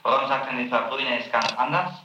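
A man working as a public prosecutor, questioning a suspect in a probing, calmly skeptical way. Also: mid-range voice, brisk. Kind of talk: archival interrogation recording. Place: prison interrogation room. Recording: roomy old tape recording with faint hiss.

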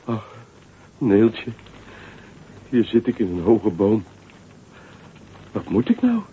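A man's voice: on the low side, wildly swooping pitch, slow, husky, exaggerated voice. An actor playing a timid young man who is afraid of heights and stammers with fear in a radio play. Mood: worried. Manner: stammering, fearful. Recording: tinny radio recording with faint music.

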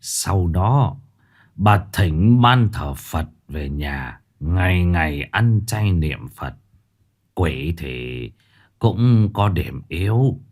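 A male storyteller voicing a stern, weary old male fortune teller who speaks slowly and heavily, with sighs. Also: sits low, lively intonation, full character voice. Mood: grave. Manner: slow, heavy, sighing delivery.

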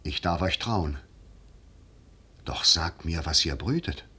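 An actor playing a shrewd, commanding, noble general in a 1950s German radio drama, speaking in measured, deliberate declamation. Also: male voice, rumbling low, expressive, sharp tone, slightly rough, heavily acted. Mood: solemn.

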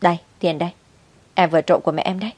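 A woman's voice: on the low side, steady tone, very fast, a little mellow, dry recording, natural voice. A reckless young mother, voiced by a woman storyteller, speaks with offhand defiance. She is a selfish, careless daughter in a family drama story. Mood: eager.

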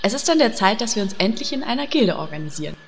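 A woman playing a bossy, vain, coquettish guild leader in a comic audio drama, brisk and commanding. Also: on the high side, sing-song, gravelly, slight background noise. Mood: organising.

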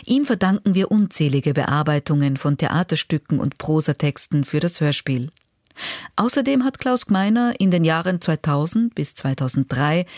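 A radio presenter reading a scripted introduction in a measured, formal way. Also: medium pitch, darkish tone, no echo, clean studio sound. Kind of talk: scripted narration.

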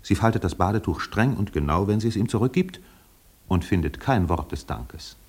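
Literary radio play narrator: low register, measured, solemn, poetic delivery. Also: male voice, lively intonation, a little mellow, slightly rough. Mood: observant.

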